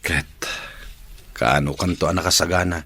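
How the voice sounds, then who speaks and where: A man's voice: low-pitched, fairly even intonation, normal speed, pushed and bright, slightly rough, full character voice. A man with an Ilocano accent acting a patient, devoted bachelor uncle of forty in a radio drama. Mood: earnest, pleading.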